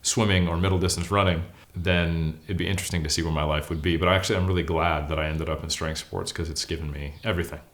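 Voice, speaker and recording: A man's voice: low voice, steady tone, fast talker, few pauses, fairly bright tone. A man of forty with an American accent, talking casually and candidly about himself as an interview guest, with a self-deprecating manner. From California, United States. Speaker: interview guest, bodybuilder and academic. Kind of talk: casual interview, personal storytelling.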